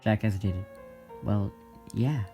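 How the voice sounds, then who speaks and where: A man narrates a scripted story as part of an audio drama. His voice sits a little low, and plucked guitar music plays clearly behind him. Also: measured pace, dark tone.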